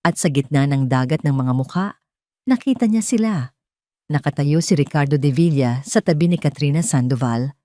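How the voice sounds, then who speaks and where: Low, mellow woman narrating a dramatic story. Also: animated, normal speed, no room sound.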